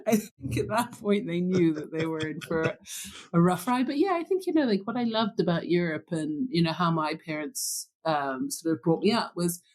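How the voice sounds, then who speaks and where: A woman in her forties with a mixed British and American accent, chatting as an interview guest, bubbly and laughing often, with lots of fillers. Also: fairly low pitch. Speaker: guest, wine buyer. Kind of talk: casual interview chat.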